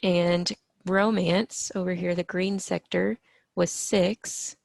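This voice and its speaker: Brief: hoarse, gravelly-voiced woman math teacher, lower pitch, patient step-by-step explaining, dry close sound. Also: little pitch movement, slow, a little mellow, no room sound. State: slightly hoarse from allergies. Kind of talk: recorded math lesson.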